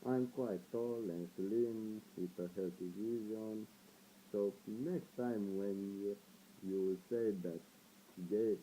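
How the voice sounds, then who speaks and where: A shy seventeen-year-old boy with a Romanian accent, introducing himself in a hesitant video blog full of pauses and fillers. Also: low-pitched, dark tone, a little hiss or hum, poor audio. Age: teens. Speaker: vlogger.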